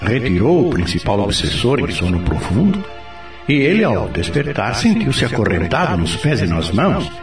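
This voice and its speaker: A Brazilian man playing a patient, authoritative spirit mentor in a radio drama, explaining things in a didactic, expressive way. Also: lowish voice, moderate pace. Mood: instructive. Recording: roomy, distant, music behind.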